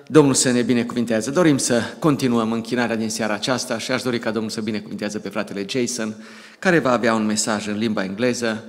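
A man's voice: lowish voice, steady tone, very fast. Fervent middle-aged man at a church lectern. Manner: fervent, encouraging. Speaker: church leader introducing the speaker. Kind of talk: exhortation at a church service. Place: church sanctuary. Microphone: lectern microphone. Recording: long hall reverb in a church.

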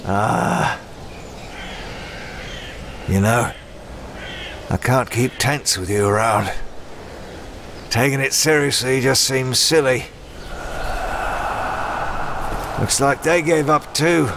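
Male actor as grim warrior for comic dub: gravelly, measured, dryly scornful, noisy backdrop. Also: medium pitch. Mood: weary, grudging. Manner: terse, growling, world-weary. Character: brooding, battle-worn, grim swordsman with dry scorn. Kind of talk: dramatic comic dub acting.

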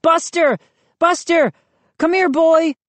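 Woman as high-pitched, urgently pleading child. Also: a little slow, dark tone, dry recording. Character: frightened, earnest child narrator pleading urgently.

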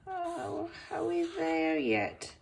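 A gentle storybook bird painter played by a woman, male and excitable, with a lifted, swooping voice. He has a tender, slightly sad streak, and there is a faint noise on the recording. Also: sits high, very slow, vocal fry, distant mic, pitched above the performer's own voice, full character voice. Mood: pleading. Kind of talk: picture book character voice.

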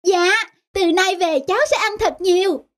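A woman's voice: extremely high pitch, normal speed. A woman voicing a polite, shy little boy in a cartoon family drama. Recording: music behind.

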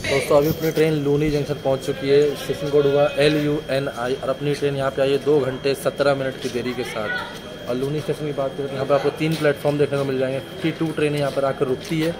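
A man's voice: flat delivery, quick pace. A young man filming a casual travel vlog on a moving train, chatting to viewers about trains and delays, holding his phone at arm's length. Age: young adult. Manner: chatty, addresses viewers as friends. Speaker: train vlogger. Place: inside and outside a moving train. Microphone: phone held at arm's length. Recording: loud train and wind noise. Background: rumbling train, air conditioning.

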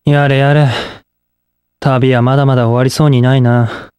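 A man's voice: mid-range voice, flat delivery, normal speed, a little mellow, clean tone, no echo, lightly acted. A young man voicing a cocky, aloof masked rider hero in a video game, with a cool, dry, self-assured delivery. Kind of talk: game voice lines.

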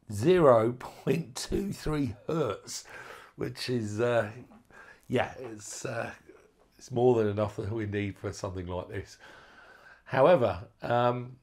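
A man with a fairly low voice talks through a hands-on electronics project. He is an informal hobbyist presenter, unscripted and a little hesitant, often pausing and rephrasing as he goes. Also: darkish tone. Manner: hesitant, unscripted, halting phrasing.

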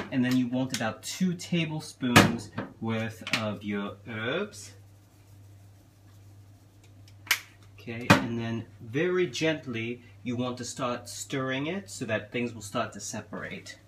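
A young man playing a genteel, affectionate elf king giving a gentle, hesitant, casual cooking demonstration. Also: medium pitch, natural voice. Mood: gentle, instructive. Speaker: cooking show host. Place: small home kitchen, indoors. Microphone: camera a step or two away. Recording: clearly noisy kitchen recording.

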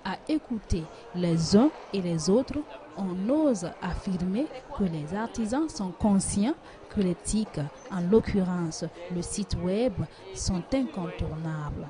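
A woman reporter reading a scripted news voice-over in a measured, formal way. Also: fairly low pitch, animated, crowd noise. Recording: music bed behind.

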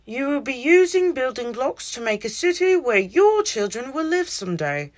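A woman's voice: sits high, big pitch swings, moderate pace, pitched above the performer's own voice. A woman voicing an enthusiastic female camp teacher in a children's storybook, talking emphatically and instructively.

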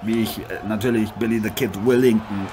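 A man playing a proud, cocky heavyweight boxing champion in an improvised radio-play interview, halting and self-important. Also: lowish voice, steady tone, a crowd in the background, lightly acted. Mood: boastful. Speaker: guest athlete being interviewed. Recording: noisy, with arena ambience behind.

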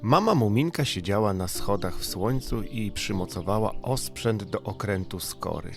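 A man's voice: on the low side, animated, moderate pace, vocal fry. A man narrating a children's audiobook as a bedtime story, with music playing behind him.

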